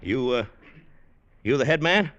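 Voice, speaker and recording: A man acting a tall, embittered, unstable Marine in 1940s radio style, with rough, slangy, hesitant soldier talk. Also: medium pitch, lively intonation, a little slow, a bit bright, dull audio. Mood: pleading. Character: tall embittered Marine gone half-crazy, threatening yet lovesick. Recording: old-time radio broadcast recording.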